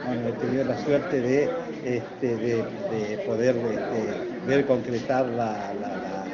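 An older man with a northeastern Argentine accent answers interview questions as a retired professor, in an earnest, explanatory and halting manner. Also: mid-range voice, fairly even intonation. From Puerto Iguazú, Misiones, Argentina. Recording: dull lo-fi, loud crowd chatter.

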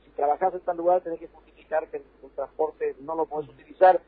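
A man's voice: fairly high pitch, fairly even intonation, fast talker, breathy edge. An Argentine man, a provincial health official, explaining rules insistently in a radio interview by phone, repeating points for emphasis. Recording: dry, tinny phone line.

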